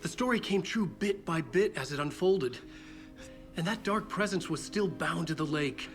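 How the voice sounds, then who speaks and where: Haunted male writer played by a man, game drama, troubled and desperate, slightly high voice over prominent music. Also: lively intonation, close to an everyday voice. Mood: urgent, troubled. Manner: halting, troubled, repeats words. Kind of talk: dramatic video game acting, narration and dialogue.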